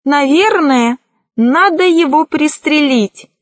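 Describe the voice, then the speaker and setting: Woman voicing a high-pitched, gleefully cruel villain sidekick. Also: slow, dry recording. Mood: callous. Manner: gleeful, mocking. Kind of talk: children's storybook reading.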